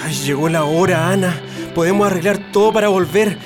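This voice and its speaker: An actor playing a heartfelt, hopeful Chilean exile with a Chilean accent in a radio drama, music behind him. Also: male voice, high-pitched, fairly quick, natural voice. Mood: hopeful, pensive. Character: Chilean male exile in Sweden, emotional, hopeful.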